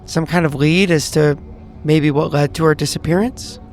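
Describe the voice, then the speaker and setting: A man's voice: fairly high pitch, fairly even intonation, normal speed, a little mellow, faint music behind, natural voice. A man playing a tentative new profiler agent in a tabletop roleplay, speaking in short, hesitant phrases. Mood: tentative, careful. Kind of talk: improvised tabletop roleplay dialogue.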